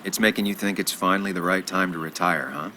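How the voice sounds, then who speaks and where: An actor playing an earnest, caring journalist, troubled but steady, in a video game. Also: male voice, deep voice, quick pace, a little hiss or hum. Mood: sincere.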